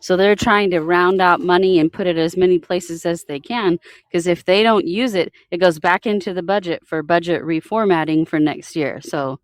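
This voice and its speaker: A woman with a low voice joins a casual podcast chat. She explains things in a calm, matter-of-fact way. The sound is dry and close. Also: normal speed, dry recording.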